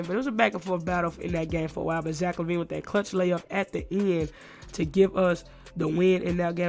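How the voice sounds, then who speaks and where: A congested young man, under the weather, talking through basketball game highlights. He speaks quickly in a fairly high voice. He is loose and casual and says "man" at nearly every pause. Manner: fast, loose talk, says "man" constantly. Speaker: gaming YouTuber.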